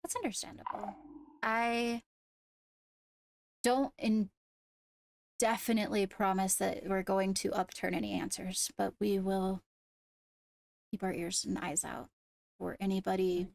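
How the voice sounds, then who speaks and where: Female investigator for fantasy game roleplay acted by a woman: formal, measured, careful with words, gravelly fry, close dry sound. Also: medium pitch, fairly even intonation, dry recording. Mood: formal, reassuring. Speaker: roleplay player acting as an investigator. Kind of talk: improvised in-character roleplay dialogue.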